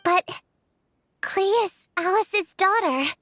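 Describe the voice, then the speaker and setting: Little girl witch hunter, extremely high voice, unhurried, halting, guarded, gruffly proud, game character. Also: fairly bright tone, heavily acted. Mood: stubborn, doubtful. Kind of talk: video game character voice acting.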